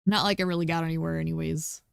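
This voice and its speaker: Croaky woman streamer thinking aloud. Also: deep voice, flat delivery, fast talker, dry recording. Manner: thinking aloud, many ums, chatty asides to herself. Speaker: game streamer. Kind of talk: casual gameplay commentary.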